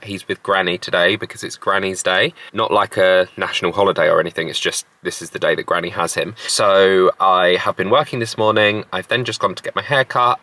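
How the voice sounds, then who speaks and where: A man with a croaky, hoarse voice, chatting casually to his vlog camera with joking asides. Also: deep voice, pushed and bright. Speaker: family vlogger. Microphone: handheld vlog camera.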